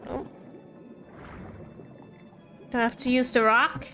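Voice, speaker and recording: A woman game streamer giving casual, halting commentary, musing aloud as she plays. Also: a little high, level tone, bright, forceful tone, faint music behind. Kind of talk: casual live gameplay commentary.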